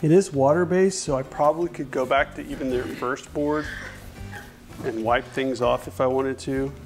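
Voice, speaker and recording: A man's voice: medium pitch, steady tone, moderate pace. A man in a workshop talking casually while he brushes and wipes stain, rambling and correcting himself mid-sentence, recorded on a lapel mic. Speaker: furniture refinisher. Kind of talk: casual how-to narration while working. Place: indoor workshop at a paint-spattered table. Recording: music playing under the voice. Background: music.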